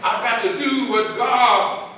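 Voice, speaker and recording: An older man with a Southern US accent preaching a sermon as pastor, in a drawn-out call-and-response style, into a handheld mic in a large church. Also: very high-pitched, fairly even intonation, bright, forceful tone. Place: large church sanctuary with congregation. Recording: very echoey church hall, heard from a distance. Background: congregation calling back.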